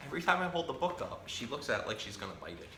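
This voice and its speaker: A man hosting a children's storytime, reading a picture book aloud in a playful, expressive way and talking directly to young listeners. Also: mid-range voice, very fast. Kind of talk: animated read-aloud of a children's picture book with chatty asides to a pet parrot. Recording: roomy echo, faint background noise.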